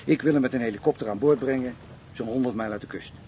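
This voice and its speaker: A man's voice: medium pitch, quick pace, dark tone, lightly acted. A man acting a self-assured, cynical Dutch crime boss in a radio play, offhand and slangy. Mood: businesslike. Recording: faint noise, radio play recording.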